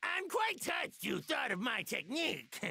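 A showy, self-satisfied cartoon master, high and creaky. He speaks slowly and grandly with wildly swooping pitch in anime dub style. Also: huge pitch swings, sharp tone, no echo, raised voice, exaggerated voice. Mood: gleeful, grand. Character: jovial, pompous, eccentric male otherworldly martial arts master. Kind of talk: English dub of an anime video game cutscene.

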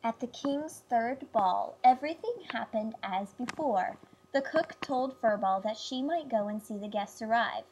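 A woman narrating a fairy tale as a read-aloud storyteller, in measured storybook phrasing. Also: fairly high pitch, expressive, darkish tone, slight background noise, rough recording. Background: music.

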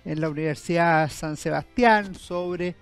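A man's voice: on the high side, unhurried, faint music behind. A middle-aged man hosting a studio interview, asking long, winding, friendly questions. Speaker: historian and TV show host. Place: TV studio set. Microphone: headset mic.

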